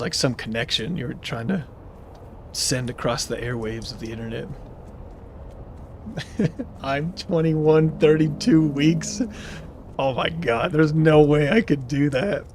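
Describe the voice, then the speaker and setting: A man's voice: on the high side, a little mellow, a little hiss or hum. A man in his thirties streaming a game live, chatting casually in a rambling way and answering viewers' chat as he plays. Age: 30s.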